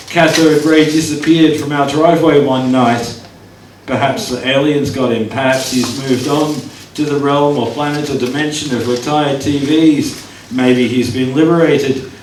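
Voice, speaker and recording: A man's voice: medium pitch, fairly even intonation, a little slow, slight background noise. Man reading poetry at an open mic. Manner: wry asides, counts down his time aloud. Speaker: poet performing. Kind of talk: live spoken-word poetry reading. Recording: echoey room, distant mic, audience.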